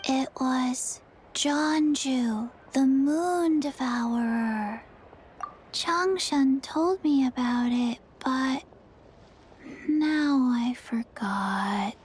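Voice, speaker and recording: An extremely slow, soft little girl's voice, high and childlike. The game character sounds deadpan and forgetful, halting between phrases with earnest sweetness. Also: a little hiss or hum. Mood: blank, halting. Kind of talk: video game voice acting.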